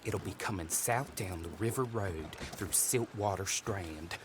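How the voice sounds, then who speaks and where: A man's voice: deep voice, unhurried, noisy background. A man playing a chatty, obliging tipster of the old West in a game, with a folksy, friendly way of talking. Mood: chummy. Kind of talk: video game cutscene dialogue.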